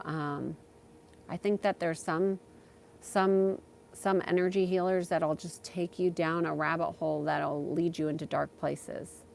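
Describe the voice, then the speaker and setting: A woman with a slightly low voice talks casually as a livestream host. She speaks haltingly, thinking aloud as she goes, in the informal way of a spiritual guide fielding questions from viewers. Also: gravelly, a little hiss or hum.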